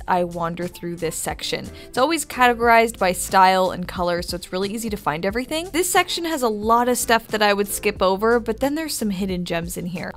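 A woman interior designer talking casually and upbeat as she walks through a home decor store, giving shopping tips in a voice-over. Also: mid-range voice. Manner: upbeat, chatty recommendations. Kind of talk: shop-with-me voice-over.

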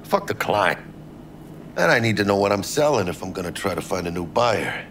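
A brash but friendly cyberpunk game smuggler with a Mexican American accent. His low voice is thick with gravel and he talks in emphatic slang, dropping in Spanish curses. Faint noise sits behind him. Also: very animated, brisk, a bit bright. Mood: blunt. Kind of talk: video game voice acting.

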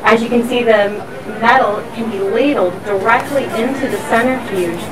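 A woman's voice: fairly high pitch, normal speed, crowd noise. A woman explaining things step by step as a factory tour guide. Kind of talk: explanatory walk-through tour. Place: busy factory floor. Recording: factory noise, somewhat distant mic. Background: machinery and workshop noise.

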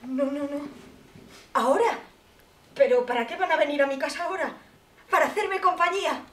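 An actress from playing a poor, lonely, half-mad old woman who pleads slowly on the phone, turning between bitterness and tears. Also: high voice, echoey room, far from the mic, close to an everyday voice. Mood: confused. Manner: slow, pleading, swinging between bitter and tearful. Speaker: actress in a monologue. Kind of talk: stage monologue.

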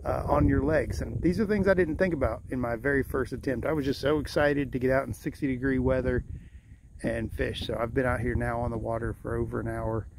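A man at a middle pitch chats loosely from a kayak, trailing off into fillers and restarting sentences. He is recorded outdoors on a body-worn camera, and clear background noise runs under his voice throughout. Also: fairly even intonation, brisk. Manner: chatty, rambling with fillers and restarts. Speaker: vlogger fishing from a kayak. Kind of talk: casual first-person vlog. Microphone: point-of-view action camera on the body.

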